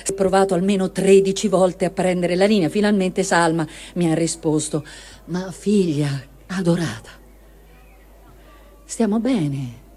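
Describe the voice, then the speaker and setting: A woman reading diary entries aloud in a quiet, earnest way. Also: on the low side. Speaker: reader.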